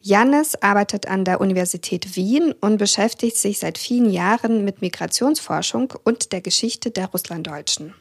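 A woman interviewer with a creaky, husky voice, recorded close and dry. She delivers prepared questions and introductions as a presenter. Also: mid-range voice, normal speed, hardly any pauses, dry recording.

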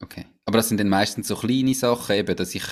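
A man's voice: fairly low pitch, quick pace. A man hosting a podcast, asking curious follow-up questions. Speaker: podcast host. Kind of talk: casual interview.

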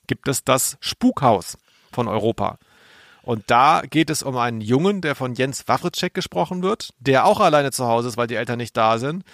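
A man's voice: mid-range voice, a bit bright, no echo, clean studio sound. A man co-hosting a podcast in casual chat, rambling a little and correcting himself as he goes. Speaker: podcast co-host. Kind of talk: casual chat about audio dramas.

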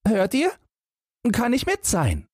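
A cheeky, fearless young lad from a storybook. The voice sits high and swoops about with a breathy, husky edge. He sounds perky, naive and entirely carefree. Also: wildly swooping pitch, takes it slowly, no echo, clean studio sound, exaggerated voice. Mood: eager, cheeky. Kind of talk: storybook character voice.

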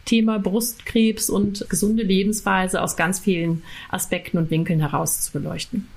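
A woman guest in an interview, giving informative, steady explanations step by step. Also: mid-range voice, moderate pace, creaky. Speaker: guest expert.

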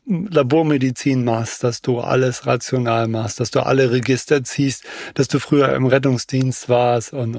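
A man's voice: medium pitch, gravelly, dry recording, clean studio sound. A man hosting a podcast while he has a cold, chatting casually and often asking for agreement. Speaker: podcast host.

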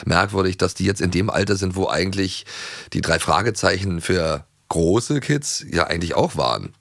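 A man's voice: low voice, animated, normal speed, creaky, a bit bright, no room sound, clean studio sound. A man co-hosting in casual, hesitant, loosely rambling review chat. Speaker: audio drama magazine co-host.

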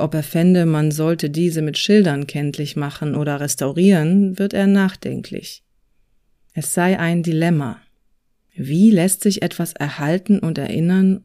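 A woman's voice: deep voice, dark tone. A woman podcast host reading scripted, reflective narration.

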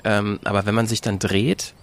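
A man's voice: fairly low pitch, little pitch movement, normal speed. A man explaining things in a casual discussion, feeling his way with self-corrections.

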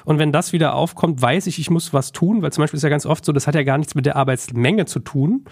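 A man's voice: a little high, quick pace, a little mellow. A man in his late thirties interviewing in a casual, conversational way. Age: late 30s. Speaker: interview host.

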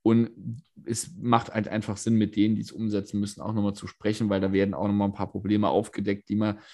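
Hoarse man in casual politics talk. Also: lowish voice, monotone, fast talker, dark tone. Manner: thoughtful, many fillers and restarts. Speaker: podcast co-host, state politician. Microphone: remote recording.

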